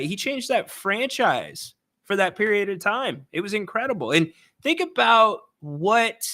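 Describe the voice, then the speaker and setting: Young dude sports host, kinda raspy today, loose and chummy, punchy bright voice. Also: male voice, sits high. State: slightly raspy voice from being unwell. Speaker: sports talk show host. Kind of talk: casual sports talk.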